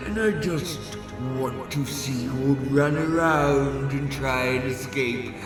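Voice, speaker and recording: Man playing a slow, echoing gloating villain. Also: mid-range voice, close to an everyday voice. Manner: taunting, theatrical, drawn-out. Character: gloating, sadistic male trickster villain. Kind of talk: audio drama acting. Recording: echoey reverberant hall, distant, music behind.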